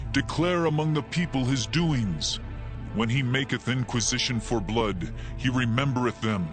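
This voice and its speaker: A man narrates scripture as a formal, declamatory dramatized psalm reading. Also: medium pitch. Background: music.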